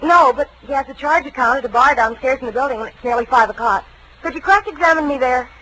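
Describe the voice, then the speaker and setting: Woman playing a high-voiced, flustered radio receptionist. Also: fast talker, close to an everyday voice. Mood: wary. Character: flustered, loyal female office receptionist. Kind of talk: 1940s radio drama acting. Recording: noisy vintage radio broadcast.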